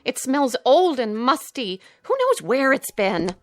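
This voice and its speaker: A woman's voice: high voice, sing-song, a little slow. A woman acting in a radio drama as a whining, fussy middle-aged mother with a plaintive, fretful manner.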